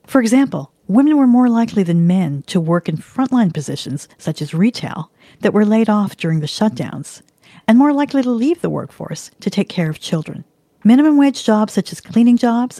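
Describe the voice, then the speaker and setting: A woman narrating a documentary in a calm, explanatory scripted read. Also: medium pitch, no echo.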